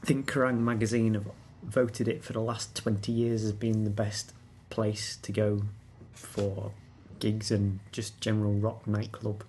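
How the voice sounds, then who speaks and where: A man's voice: lowish voice, little pitch movement, unhurried, few pauses, a little mellow. Chatty laughing man, faint hiss. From England. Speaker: guest. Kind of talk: casual chat.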